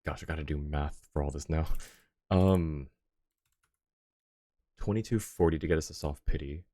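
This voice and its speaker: Low-voiced man chatting on a game stream. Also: normal speed, dark tone, no room sound. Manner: rambling, thinking aloud. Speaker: game livestreamer. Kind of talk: casual live-stream gaming chat.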